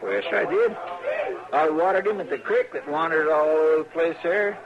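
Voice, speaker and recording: A creaky, fairly high male voice gives us an earnest frontier sidekick, drawling in rural Western American. He is folksy, naive and deferential, in an old radio western with crowd murmur behind. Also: lively intonation, brisk, highs cut off. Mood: earnest, defensive. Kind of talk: old-time Western radio drama acting. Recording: old radio recording, audience-like background murmur.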